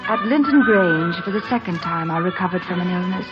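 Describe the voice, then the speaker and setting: An actress playing a wistful, passionate young woman in a 1940s radio drama, dramatic and hushed at phrase ends. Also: fairly low pitch, animated, a little fast, lightly acted. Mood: wistful narration. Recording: dull old radio broadcast, music behind.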